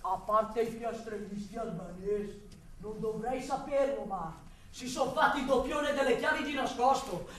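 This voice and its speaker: A woman's voice: on the high side, moderate pace, slight background noise, close to an everyday voice. A woman playing a blustering, defensive male building foreman, rambling and interrupting herself in a radio drama monologue. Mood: nervous, evasive. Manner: agitated, rambling, self-interrupting. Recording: echoey room, distant mic.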